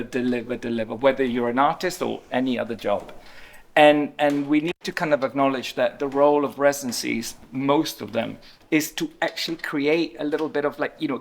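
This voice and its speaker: A man speaking from a symposium stage at an ordinary middle pitch. He talks in a reflective, stop-start way, doubling back on words as he works through an idea. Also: gravelly. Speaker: panel speaker. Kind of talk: symposium panel discussion. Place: event hall with audience.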